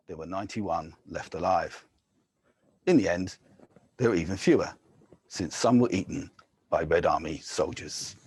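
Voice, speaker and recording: A man, a poet, reading his own poems in a measured, deliberate way, with short casual introductions between them. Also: low-pitched, darkish tone, breathy edge, no room sound. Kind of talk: poetry reading with spoken introductions.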